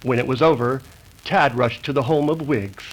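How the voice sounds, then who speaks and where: An actor playing a declamatory American announcer for a 1930s radio western serial. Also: male voice, mid-range voice, normal speed, over a noisy crowd, close to an everyday voice, rough recording. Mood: rousing.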